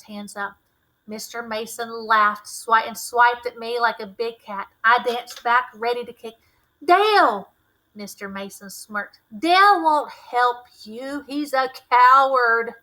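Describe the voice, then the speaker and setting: A woman narrates a children's novel aloud in an expressive, scripted teacher's style. Her voice is fairly high, with a very bright, forceful tone. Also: a little slow, rough recording.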